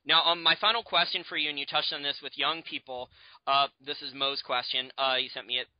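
A man's voice: mid-range voice, steady tone, fairly quick, dry recording. A man hosting an investing podcast, asking questions in a conversational way. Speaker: podcast host, interviewer. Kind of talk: conversational interview. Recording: dull, dry lo-fi audio.

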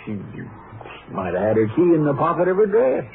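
An actor playing a confident, plain-spoken country sheriff with a folksy manner in a radio drama. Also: male voice, fairly high pitch, sing-song, brisk, vocal fry. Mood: sure, easygoing. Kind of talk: 1950s radio drama acting. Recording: noisy, narrow-band 1950 radio recording.

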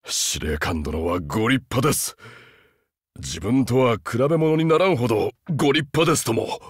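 A man's voice: mid-range voice, animated, moderate pace, breathy edge, no room sound, studio quality. A man voicing a huge, loyal, humble beast-man soldier in a game, haunted and earnest, speaking with stiff archaic military formality. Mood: fearful, grieving. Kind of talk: Japanese game character voice acting.

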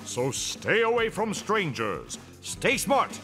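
A man's voice: sits high, wildly swooping pitch, slow pace, bright, forceful tone, faint music behind, exaggerated voice. A man playing a bombastic, cheerful, muscle-bound cartoon superhero who lectures children in a theatrical, goofy, emphatic way. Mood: stern, emphatic. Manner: theatrical, emphatic, goofy public-safety preaching. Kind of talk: children's cartoon safety message.

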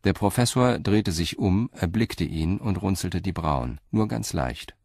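A man narrating a crime story in a measured, sober and deliberate way. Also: low voice, animated, vocal fry, darkish tone, no room sound, studio quality.

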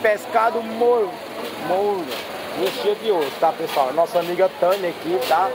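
A man's voice: sits high, measured pace. A man with a Brazilian accent giving casual, chatty commentary to viewers, often repeating little tag phrases. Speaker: vlogger touring a street market. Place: outdoor street market. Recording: outdoor market with crowd noise.

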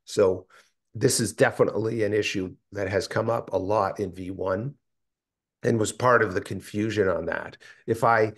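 A man presenting in a technical working group call, explaining in a halting, thinking-aloud way. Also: lowish voice. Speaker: meeting presenter. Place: indoor room, video call.

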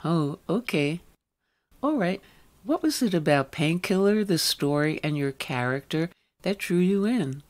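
A woman's voice: on the low side, expressive, measured pace, darkish tone. A woman hosting a radio interview, putting questions to a guest.

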